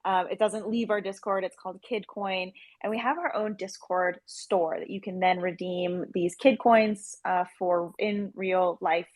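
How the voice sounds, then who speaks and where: A woman's voice: medium pitch, steady tone, a little slow, hardly any pauses, darkish tone, dry recording. Female interview guest, mid-range voice, dry sound. Chatty explainer, casual promotional talk. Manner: chatty, explanatory, peppers speech with "like". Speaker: interview guest, project team member.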